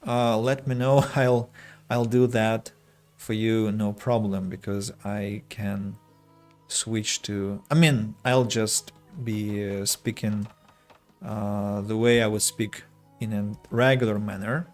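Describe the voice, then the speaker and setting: A young adult man from Russia with a Russian accent, streaming a strategy game and chatting with viewers, choosing his words slowly and carefully with frequent restarts. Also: fairly low pitch, steady tone, a little mellow, soft background music. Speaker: streamer and English teacher. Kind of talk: casual gameplay streaming chat.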